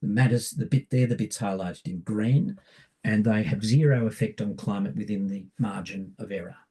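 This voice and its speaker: A man giving a public lecture, croaky from a cold, measured and often pausing with ums. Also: fairly low pitch, mellow, low-quality recording. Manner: measured, hesitant with many ums. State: hoarse from a cold. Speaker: barrister giving a public talk.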